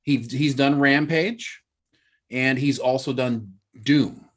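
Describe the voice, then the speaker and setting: A man's voice: mid-range voice, slow pace. A man hosting a casual podcast, explaining things chattily and hedging with fillers. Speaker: podcast host. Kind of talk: casual chat.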